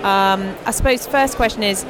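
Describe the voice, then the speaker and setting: A woman hosting a podcast, asking brisk, encouraging questions at a conference. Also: mid-range voice, poor audio. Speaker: podcast host, interviewer. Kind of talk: roving interview at a conference. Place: conference hall. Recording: busy crowd at a conference.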